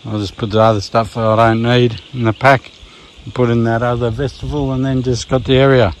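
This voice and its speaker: A man's voice: medium pitch, fairly quick, slight background noise. Rambling Australian man outdoors. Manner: relaxed, rambling, many ums and restarts. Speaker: vlogger. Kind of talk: casual hiking gear walkthrough. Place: outdoors at a campsite by a river.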